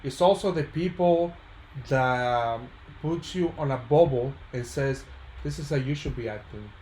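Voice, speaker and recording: A man from the Dominican Republic chatting casually in an animated way, often checking in with his listeners. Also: mid-range voice, a little slow. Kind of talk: casual chat. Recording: roomy echo, faint background noise.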